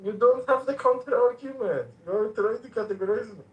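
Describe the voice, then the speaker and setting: A man with a notably high voice, speaking haltingly with frequent "uh" pauses and an earnest tone. His voice comes through echoey voice chat audio, with the room ringing around him. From Iran. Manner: halting, hesitant, full of "uh" fillers, earnest. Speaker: voice chat participant. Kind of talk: heated online group chat.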